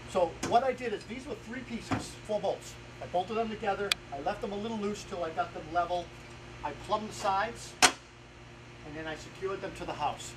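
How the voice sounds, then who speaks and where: A man in his forties giving an informal, plain-spoken how-to as a carpenter, explaining the job step by step. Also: sits high. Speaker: carpenter hosting a home improvement series. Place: outdoors in a house yard. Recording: noisy outdoor camera audio with ambient sound.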